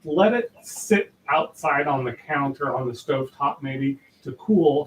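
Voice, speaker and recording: A man's voice: medium pitch, slight background noise, low-quality recording. A man hosting a casual live cooking demo, rambling with lots of fillers and restarts, in a home kitchen. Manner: says he has a loud voice. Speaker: cooking show host.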